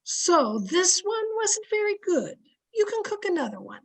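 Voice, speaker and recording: A woman's voice: extremely high pitch, big pitch swings, unhurried, creaky, exaggerated voice. A woman playing a supportive, chirpy housewife best friend in an old-time radio sitcom, coaxing and wry in a girlish way. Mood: encouraging. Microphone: home microphone over video call.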